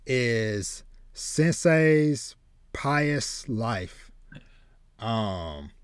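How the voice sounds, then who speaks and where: A man in his thirties chatting casually as a podcast host, haltingly, often repeating words as he goes. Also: mid-range voice, big pitch swings, clear, resonant tone, no echo, clean studio sound. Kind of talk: casual chat about manga and anime. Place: small home room with bookshelves.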